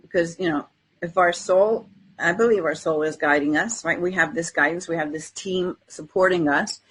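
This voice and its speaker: A woman co-hosting a podcast in casual conversation, speaking in a tentative, reflective and halting way. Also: fairly low pitch, husky, rough recording. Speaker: podcast co-host. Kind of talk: casual spiritual chat.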